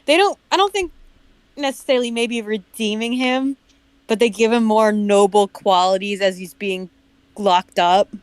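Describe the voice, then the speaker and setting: A woman's voice: mid-range voice, moderate pace, no echo. A woman chatting casually as a co-host, making dry jokes about a story. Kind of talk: casual chat.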